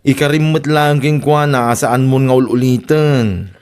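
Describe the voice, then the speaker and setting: A sensible neighbour played by a man in an Ilocano radio drama. He gives earnest advice in a medium-pitched voice with an Ilocano accent. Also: moderate pace, darkish tone, clear, resonant tone, natural voice. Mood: advising.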